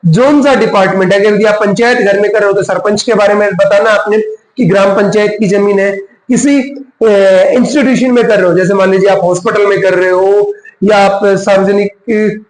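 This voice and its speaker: A man giving a casual step-by-step tutorial, explaining as he goes and dropping in English terms. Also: high-pitched. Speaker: tutorial host. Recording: roomy, echoing voice recording.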